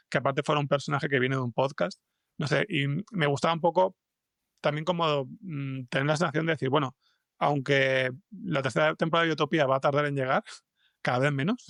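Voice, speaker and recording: A man's voice: mid-range voice, gravelly, a bit bright, no room sound, studio quality. A man with a Spain Spanish accent chatting as an interview guest, hesitant and rambling, often repeating words and stretching fillers. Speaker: guest, fiction podcast scriptwriter and comics artist. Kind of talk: casual interview conversation.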